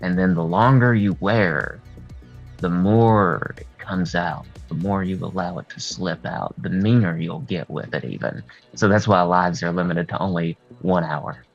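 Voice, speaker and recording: A man with a husky voice talking candidly and at rambling length as a guest in a casual interview. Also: low-pitched, measured pace. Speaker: horror artist and video creator, interview guest. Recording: dull lo-fi sound, music behind. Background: music.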